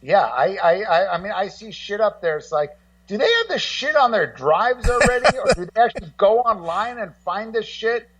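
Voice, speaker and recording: Male guest co-host, higher pitch, casual profanity, excitable rambling, repeated words, distant dull recording. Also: moderate pace. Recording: dull lo-fi, distant-sounding connection.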